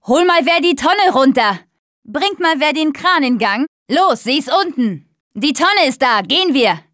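A woman's voice: high-pitched, expressive, a little slow, fairly bright tone, no room sound, studio quality. An actress voicing a tough, wry young female survivor of a zombie apocalypse in short video game callouts. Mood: determined, wry.

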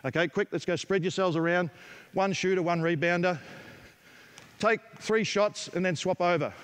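Brisk Australian man coaching basketball. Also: high voice, smooth. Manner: brisk, direct, calls out drills to players. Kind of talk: coaching clinic instruction. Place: large indoor basketball gym. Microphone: headset mic.

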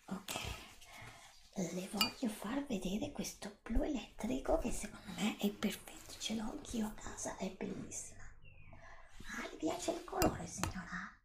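A woman's voice: a little high, creaky, reverberant. Lady doing asmr shop assistant roleplay, whispery and crackly, super polite n reassuring. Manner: polite, attentive, reassuring, formally addressing a customer.

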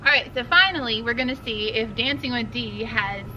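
A woman's voice: on the high side, moderate pace, a little hiss or hum, poor audio. A woman in her thirties teaching a line dance outdoors in a casual, bubbly way, laughing often and counting steps. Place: outdoors on a concrete pad in a grassy yard. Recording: outdoor video with loud music. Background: loud music.

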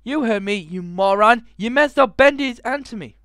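A man voicing a sharp-tongued young wife in a visual novel, raising his voice high and shouting her lines in bright, clear bursts. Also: animated, normal speed, no echo, studio quality. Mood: scolding. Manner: shrill, shouting outbursts.

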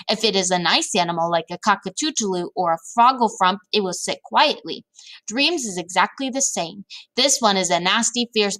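A woman voicing a big, kindly, excitable male giant in a storybook, with exclamations, muddled grammar and invented words. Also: mid-range voice, natural voice. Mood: earnest.

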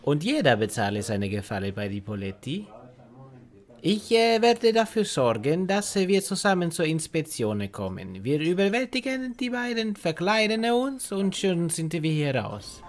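Lively Italian prison schemer. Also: male voice, a little high. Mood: conspiratorial. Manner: halting, peppered with Italian words, broken grammar. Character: scheming, sentimental Italian male prison inmate. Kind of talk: parody audio drama acting.

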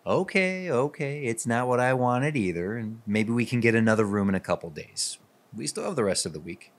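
A man's voice: medium pitch, big pitch swings, a little fast. A man playing an earnest, jittery newlywed husband in a radio drama. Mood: reassuring.

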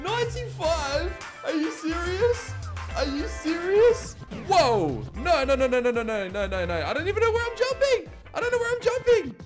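A young man playing a horror game and recording through a headset at home, muttering to himself and breaking into panicked shouts. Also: extremely high pitch, very animated, moderate pace, music in the background. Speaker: gaming YouTuber. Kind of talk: horror game let's play. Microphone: over-ear headset.

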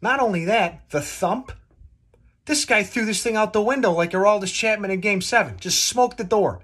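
A man in his twenties telling a casual, comedic story to camera in an animated, exaggerating way, recorded on a phone in a room at home. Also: high voice, moderate pace, breathy edge. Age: 20s. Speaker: vlogger. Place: small dim room at home, indoors.

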